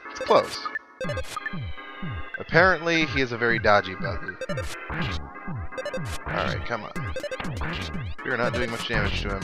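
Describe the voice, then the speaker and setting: A man giving casual, dryly joking commentary as he plays a video game and reads its text aloud. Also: on the low side. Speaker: host of a video game playthrough. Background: video game music.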